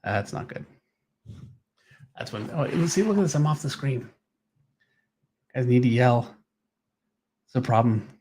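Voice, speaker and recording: A man's voice: mid-range voice, lively intonation, unhurried, pauses often, a little mellow, a little hiss or hum. Easygoing man hosting a casual live stream. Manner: easygoing, trailing off mid-thought. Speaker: live stream host, artist drawing. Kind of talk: casual unscripted chat.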